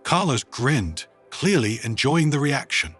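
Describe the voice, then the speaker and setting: A man's voice: medium pitch, very animated, unhurried, a bit bright, breathy edge. A man narrating a scripted science fiction story. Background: music.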